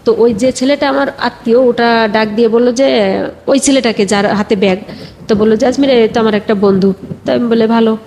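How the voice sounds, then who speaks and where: High-voiced Bangladeshi woman telling her story. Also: steady tone, a little fast, darkish tone, a little hiss or hum, rough recording. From Bagerhat area, Bangladesh. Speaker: guest telling own life story. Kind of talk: personal storytelling in conversation.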